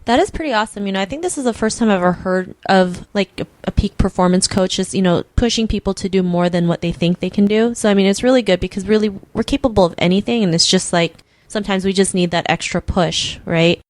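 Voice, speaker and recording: A woman's voice: medium pitch, fast talker, hardly any pauses, a little mellow, no room sound. A woman interviewing a guest in a casual, chatty way, full of fillers and upbeat affirmations.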